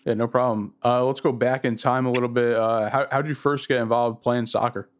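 A man's voice: medium pitch, steady tone, a little fast. A man hosting a soccer podcast, asking laid-back questions and giving short replies. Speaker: podcast host. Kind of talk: casual interview.

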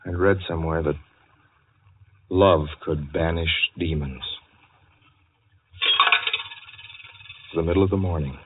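An actor playing a desperate, haunted motorist, narrating in a dramatic, brooding and anguished 1940s radio style. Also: male voice, deep voice, steady tone, moderate pace, very noisy, natural voice. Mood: bleak, weary. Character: desperate, haunted male motorist unraveling with fear. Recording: muffled old radio recording, loud music behind.

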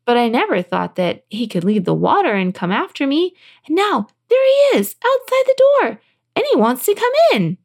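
A woman's voice: a little high, sing-song, moderate pace, darkish tone. A woman voicing a young fairy-tale princess who is emotional, fretful and easily flustered. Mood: distressed.

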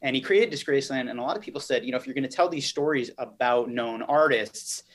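A man's voice: mid-range voice, fast talker. A man giving conversational interview answers as a business guest, explaining and recounting in an articulate way. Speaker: podcast guest, company chief executive.

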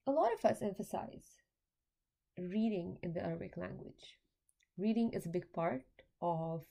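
Woman, low voice, podcast host, measured, explanatory, speaking to parents. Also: gravelly, warm tone. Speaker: graduate student in applied linguistics. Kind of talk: scripted educational podcast talk.

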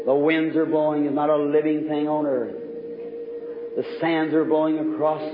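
A man preaching fervently to a revival congregation as an evangelist, exhorting his listeners. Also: fairly high pitch. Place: large reverberant meeting hall. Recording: tinny, noisy, echoing old hall recording.

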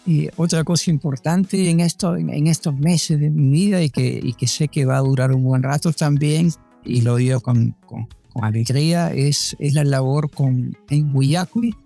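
Older man with a gravelly, mellow voice. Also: on the high side. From Panama. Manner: hesitant, many restarts and fillers. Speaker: guest. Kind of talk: relaxed interview answers.

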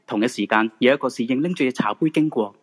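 A man's voice: mid-range voice, fast talker. A man with a Hong Kong Cantonese accent narrating a scripted ghost-story radio drama. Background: background music.

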